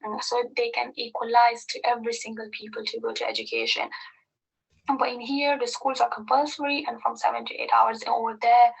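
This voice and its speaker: A young woman from Afghanistan, with Pashto as her first language, speaks in a recorded message. Her voice sits fairly high with a gravelly, husky edge. She talks earnestly and hesitantly, pausing on plenty of fillers. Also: low-quality recording. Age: young adult. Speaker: university student sharing advice. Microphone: recorded message, played in webinar.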